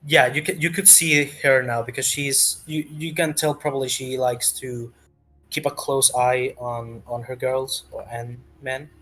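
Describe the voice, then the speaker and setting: A man's voice: medium pitch, level tone, a little slow, a bit bright, soft background music. A man narrating a tabletop game as game master in a casual, improvised way, often searching for words.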